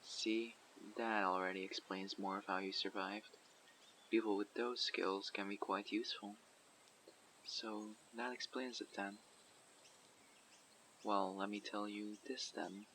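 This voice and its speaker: A man's voice: lowish voice, fairly even intonation, sharp tone, slight background noise, rough recording. A man playing a guarded survivor leader. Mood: calm, probing. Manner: measured, probing, matter-of-fact. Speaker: community leader questioning a newcomer. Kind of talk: audio roleplay. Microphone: USB condenser microphone.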